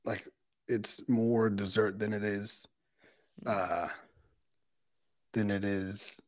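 A man co-hosting a casual chat, talking in a halting, unhurried way. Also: lowish voice, level tone, no room sound. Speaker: podcast co-host.

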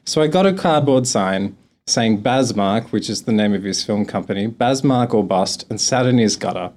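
A man's voice: fairly low pitch, expressive, normal speed, a little mellow. A young man from Australia with a mild Australian accent, answering interview questions in a chatty way, with frequent laughs and restarts. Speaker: guest.

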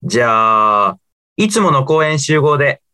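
Student radio drama: male actor as sincere young male friend, mid pitch, very animated melody. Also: unhurried, clean tone. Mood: light, carefree. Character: earnest young male alien living as a student.

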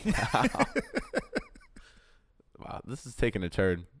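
Playful young man with a lively voice. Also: on the low side, slow pace. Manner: playful, teasing, laughs a lot. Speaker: podcast host. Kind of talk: casual podcast chat.

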